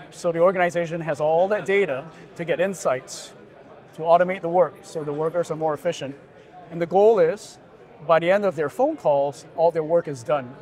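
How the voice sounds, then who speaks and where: A middle-aged man giving an assured, agreeable and well-structured conversational pitch as an interview guest. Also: a little mellow. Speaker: startup founder being interviewed. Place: busy indoor expo hall booth.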